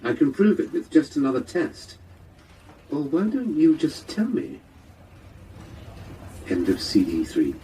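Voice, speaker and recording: A man playing an audiobook narrator reading a brooding crime novel in a measured, ominous, literary style within an audio drama. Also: on the high side, huge pitch swings. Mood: grave, suspenseful. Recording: distant playback over loud vehicle noise.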